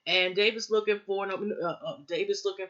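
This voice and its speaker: A woman's voice: medium pitch, fairly even intonation, moderate pace, a bit bright, lo-fi sound. A woman recapping a TV episode in a loose, rambling way, with lots of fillers and restarts. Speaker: TV show reviewer.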